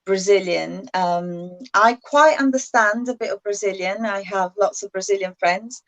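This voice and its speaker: A woman's voice: mid-range voice, normal speed, a bit bright, low-quality recording. A woman, explaining English usage casually as a guest in a language chat.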